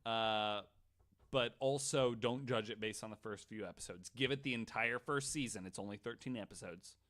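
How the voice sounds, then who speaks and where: Bright, forceful-voiced man chatting as host. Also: lowish voice, normal speed. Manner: sweary, excitable. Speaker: podcast host. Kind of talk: casual panel chat.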